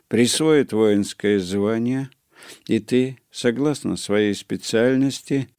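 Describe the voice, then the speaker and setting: A man voicing a worldly legless war veteran who gives dry, conspiratorial warnings, in a slow, low, gravelly voice. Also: dark tone, dry recording.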